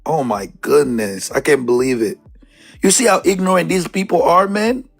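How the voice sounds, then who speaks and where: A man's voice: on the high side, normal speed, creaky. A man on a political debate panel, exasperated and ranting at an opponent. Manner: exasperated, ranting then apologizing for yelling. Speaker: panel participant. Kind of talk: heated online political debate.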